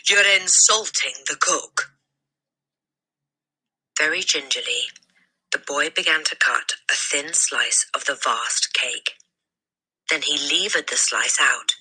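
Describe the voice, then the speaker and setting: A woman reading a children's story aloud as narrator, in an expressive storytelling style. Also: extremely low pitch, a little slow, breathy edge, rough recording. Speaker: storybook reader.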